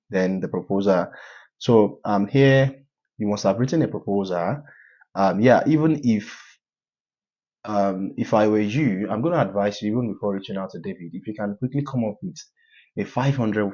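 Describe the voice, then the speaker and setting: A man walking viewers through a form in a tutorial, giving advice in a halting way with many filler sounds. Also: low-pitched, a little mellow, lo-fi sound. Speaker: scholarship advice YouTuber. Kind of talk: screen-share walkthrough tutorial.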